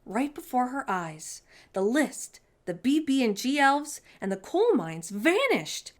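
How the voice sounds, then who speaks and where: A woman reading a children's picture book aloud in an expressive storytime narration style. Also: mid-range voice.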